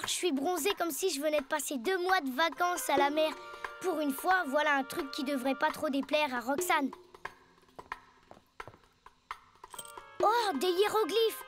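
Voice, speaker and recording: A woman's voice: high-pitched, close to an everyday voice. A woman playing a good-natured, plucky nine-year-old boy in a cartoon, boyish, colloquial and exclamatory. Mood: excited. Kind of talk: French cartoon dubbing. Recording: loud music behind the voice.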